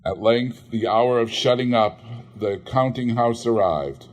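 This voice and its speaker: A man reading a classic novel aloud in measured storytelling narration. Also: medium pitch. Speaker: audiobook reader.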